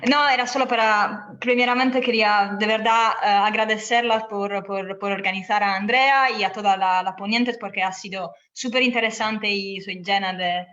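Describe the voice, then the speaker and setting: A woman who is not a native Spanish speaker talks on an online panel, haltingly, often repeating words as she searches for phrasing. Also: medium pitch, fairly even intonation, pushed and bright. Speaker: activist on a panel. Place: online video call. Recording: muffled lo-fi online call audio.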